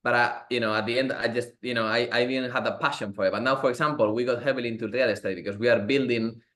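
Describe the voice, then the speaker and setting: Late-twenties man, rapid enthusiastic panel guest, filler-heavy delivery. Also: medium pitch, steady tone, fairly bright tone. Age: late 20s. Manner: quick, eager, heavy use of "you know". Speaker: panel speaker. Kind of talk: conversational panel Q&A.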